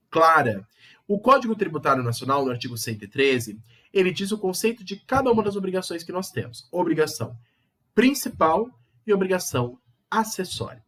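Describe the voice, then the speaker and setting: A Brazilian man teaching law in an online video lesson, hoarse from a cold, explaining step by step and repeating key terms with rising emphasis. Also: a little high, fairly bright tone. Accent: Brazilian Portuguese.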